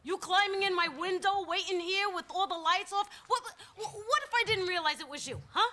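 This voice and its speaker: A woman's voice: very high voice, normal speed, bright, forceful tone. An actress playing a feisty, suspicious working-class woman on parole in a radio play, with a streetwise New York accent and combative manner. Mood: indignant. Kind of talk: stage play performed for radio.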